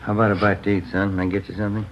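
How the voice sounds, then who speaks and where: An actor playing a steady, plain-spoken male police detective who asks brisk, practical questions in an old radio drama. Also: fairly low pitch, close to an everyday voice. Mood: matter-of-fact. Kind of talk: 1950s radio drama acting. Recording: dull lo-fi old radio broadcast with hiss.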